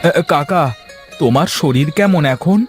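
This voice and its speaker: A man voicing an excitable, puzzled young village farmer in a fairy-tale cartoon, repeating phrases and exclaiming, with music behind. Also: a little high, normal speed, close to an everyday voice. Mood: eager.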